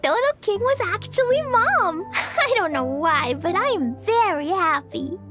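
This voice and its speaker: A woman's voice: extremely high pitch, very animated, exaggerated voice. A woman voicing a cheerful, playful little girl for a video game, with guileless, childish, gushing delivery. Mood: happy, affectionate. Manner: childish simple phrasing, drawn-out, gushing. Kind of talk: animated video game voice acting. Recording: music behind the voice.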